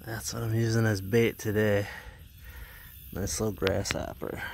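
A man's voice: lowish voice, a little mellow, a little hiss or hum. A man in his thirties casually narrating a fishing trip, folksy and chatty, out on a lake in a kayak. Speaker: fishing vlogger. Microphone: action camera.

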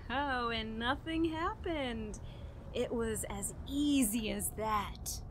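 A woman playing an unhinged, guilt-ridden killer confessing in a halting, dramatic way in a radio horror drama. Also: fairly high pitch, background noise. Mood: smug, brooding. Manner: dramatic, halting, broken by manic laughter. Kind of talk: old-time radio horror drama monologue.

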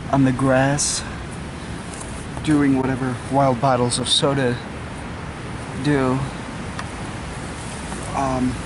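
Young guy doing deadpan fake nature show in his backyard, talks slow, kinda noisy camera audio. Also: medium pitch. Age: young adult. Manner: halting, deadpan mock-reverent delivery. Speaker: host of a comedy cooking show. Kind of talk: mock nature-documentary vlog to camera. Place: outdoors in a suburban backyard. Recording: noisy outdoor camera audio, background hiss.

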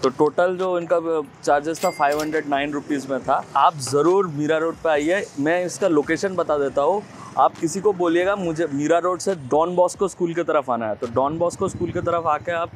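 A man's voice: fairly high pitch, moderate pace, a little hiss or hum. A man in his thirties reviewing food in a casual, chatty way, mixing in English phrases, on a lapel mic in a restaurant. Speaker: food vlogger. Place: small restaurant indoors, later busy street outside.

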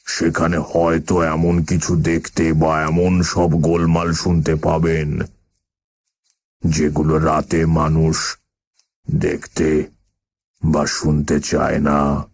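An actor playing a sinister, forbidding landlord in a horror audio drama, speaking in an ominous, halting way. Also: male voice, very deep voice, completely flat delivery, darkish tone, slightly rough, full character voice. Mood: cryptic warning.

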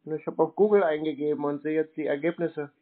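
A man's voice: on the high side, fairly even intonation, fast. A man chatting casually on the phone in a laconic, plain-spoken way, over a narrow telephone line. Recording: narrow-band telephone call.

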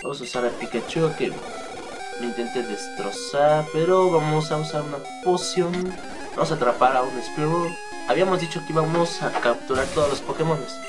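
A man's voice: fairly high pitch, normal speed. A man with a Mexican accent, slightly sick, giving casual, rambling, hesitant gameplay commentary with some mild swearing. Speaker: let's play gamer. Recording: game music behind the voice. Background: video game music.

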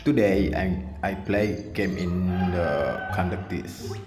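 A man with an Indonesian accent talks through his gameplay slowly in a deep, low voice, stopping often on fillers as he looks for English words. His voice sits in an echoey room, and loud game music plays behind it. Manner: halting non-native English with many fillers. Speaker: gaming channel host. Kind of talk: casual gameplay commentary.

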